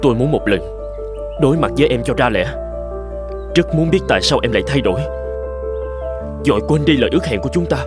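A man's voice: mid-range voice, lively intonation, fast talker, long pauses, natural voice. A man playing an earnest, proud young businessman with a dramatic way of speaking in a cartoon drama. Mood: wounded. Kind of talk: dubbed cartoon drama acting. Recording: long reverb, loud music underneath.

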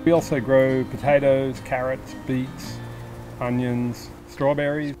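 Calm middle-aged man farmer. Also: mid-range voice, slow pace. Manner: calm, thoughtful, plain-spoken. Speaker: urban farmer. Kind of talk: documentary interview. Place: outdoors on a farm. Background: guitar music.

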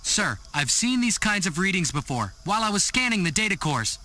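A man's voice: big pitch swings, a little fast, exaggerated voice. An actor playing an eager, nervy young male tech lieutenant, earnestly briefing his team in a video game. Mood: excited, puzzled. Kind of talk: video game cutscene acting. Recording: loud music and vehicle rumble behind.

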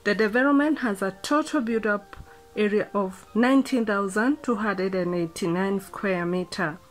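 A woman's voice: medium pitch, normal speed. Woman narrating a mall tour vlog. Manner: reads facts in a listing cadence, then chats casually with fillers. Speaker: vlogger.

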